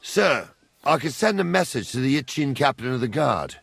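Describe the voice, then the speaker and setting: An actor playing a weary, gruff ageing medieval soldier with a gravelly voice, grumbling but deferential, in a video game. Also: male voice, mid-range voice, sing-song, normal speed, fairly bright tone, no room sound, heavily acted. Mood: dutiful. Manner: plain-spoken, deferential grumbling.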